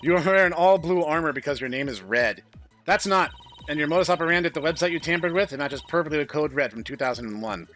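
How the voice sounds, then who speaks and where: A man's voice: on the high side, lively intonation, quick pace, fairly bright tone, faint music behind. A man voicing a bold, cocky virus hero from a visual novel game, confident and taunting.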